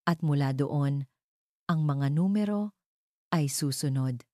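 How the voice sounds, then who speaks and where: A woman reading a dramatic first-person story as a narrator, in a measured, deliberate way. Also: sits low, dark tone, dry recording, clean studio sound.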